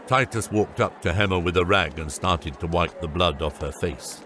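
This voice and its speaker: A man's voice: low voice, normal speed, fairly bright tone, slight background noise. A man narrating a scripted fantasy audiobook.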